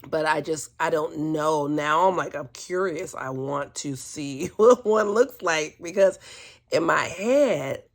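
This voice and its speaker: A woman's voice: deep voice, takes it slowly. A woman telling a personal story in a casual, candid and chatty way, often amused and hesitant as she picks her words. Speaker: vlogger telling personal stories.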